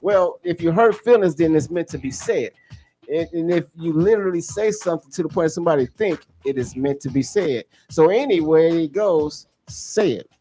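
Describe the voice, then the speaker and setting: A radio host talks in casual, slangy banter at a middling pitch. The delivery is emphatic, with phrases often repeated. There is clear noise and clicking in the background. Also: animated, moderate pace, warm tone.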